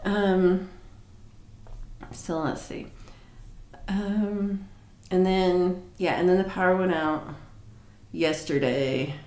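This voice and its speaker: A woman hosting a casual livestream chat, rambling with many fillers and restarts, with a low voice. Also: fairly even intonation, extremely slow, pauses often, darkish tone, reverberant. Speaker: livestream host. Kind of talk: casual live chat reading comments.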